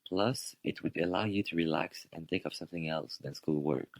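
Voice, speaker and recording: A young man, a student, making his points in a scripted radio debate with formal, measured wording. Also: low-pitched, gravelly, no room sound. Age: young adult.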